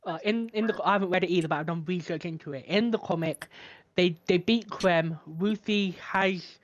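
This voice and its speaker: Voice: mid-range voice, normal speed, dry recording, muffled recording. A young co-host on a casual film podcast, explaining plot at length with many fillers.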